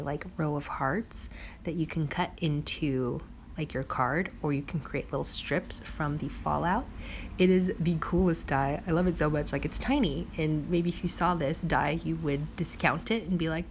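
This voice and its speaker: A young woman with a hoarse, raspy voice from a cold, chatting casually through a crafting video voice-over. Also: low-pitched, slight background noise. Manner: chatty, bubbly, lots of "like" and "um". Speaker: crafting vlogger.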